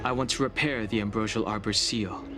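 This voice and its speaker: A man's voice: on the low side, steady tone, fairly quick, over music, natural voice. A man voicing a stoic, brooding young exile in a video game, speaking in a formal, solemn, reserved way. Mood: solemn, resolute. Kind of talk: scripted video game voice acting.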